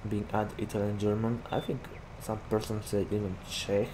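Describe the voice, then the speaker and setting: A man's voice: fairly low pitch, flat delivery, moderate pace. A young man from Slovakia with a Slovak accent, chatting casually with many "like" fillers while commenting on his gameplay. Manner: rambling, chatty, heavy use of "like" fillers. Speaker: gaming YouTuber. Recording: game vehicle noise behind voice.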